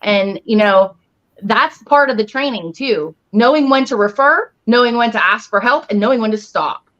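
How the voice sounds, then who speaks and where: A woman's voice: a little high, expressive, moderate pace, fairly bright tone. A woman speaking earnestly and emphatically in a casual panel talk, as a paranormal investigator and healer. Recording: dull, lo-fi online audio.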